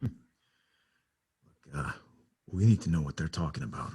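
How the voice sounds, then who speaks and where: A man acting an anxious undercover soldier in a table read, urgent and halting. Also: very deep voice, expressive, warm tone, breathy edge, full character voice.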